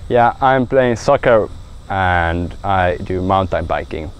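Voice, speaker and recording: Low-voiced teen boy from Finland. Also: lively intonation, slow pace, slight background noise. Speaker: exchange student.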